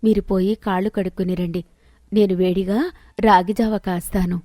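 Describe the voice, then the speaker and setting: A woman voicing a devoted, long-suffering young wife with a gentle, resigned manner in a moral story. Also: medium pitch, steady tone, normal speed, dry recording, studio quality, lightly acted.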